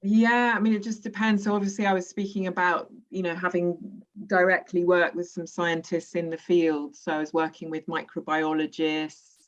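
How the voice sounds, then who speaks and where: A woman poet answering questions in an online session, speaking in a thoughtful, measured way with frequent pauses. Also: fairly low pitch, level tone. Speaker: poet and workshop leader. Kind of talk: online talk and Q&A. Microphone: video call. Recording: lo-fi video call audio.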